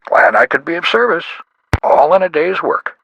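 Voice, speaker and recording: Gravelly, animated investigator. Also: male voice, on the high side, moderate pace, a little hiss or hum, heavily acted, low-quality recording. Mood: helpful, animated. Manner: casual, clipped, colloquial. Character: folksy, helpful tough-guy investigator making calls. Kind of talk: video game character voice lines.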